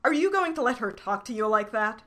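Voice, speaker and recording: A woman's voice: very animated, unhurried, fairly bright tone, full character voice. A woman voicing a tiny furious male yam creature who rants indignantly. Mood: ranting. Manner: shrill, indignant, ranting.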